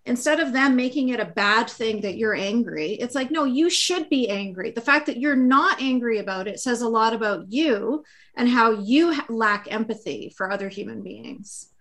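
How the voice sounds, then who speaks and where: A woman's voice: a little high, moderate pace, fairly bright tone. A woman with Arabic as her first language hosting a casual online talk, outspoken and swearing when indignant. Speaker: host.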